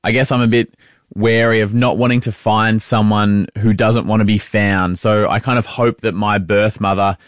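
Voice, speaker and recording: A man with a fairly low voice recorded dry and close. He asks his questions gently and reflectively, thinking aloud as he goes. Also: fairly even intonation, normal speed, no room sound. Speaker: host and adoptee. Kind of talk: casual interview on a call.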